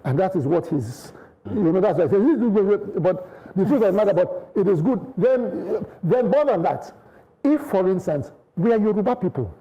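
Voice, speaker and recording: A Nigerian man arguing on a studio news panel, his voice fairly high but very dark and mellow. He talks insistently, circling back through restarts and half-finished clauses as he builds his case. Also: expressive, normal speed, husky, rough recording. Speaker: studio guest. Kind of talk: panel debate.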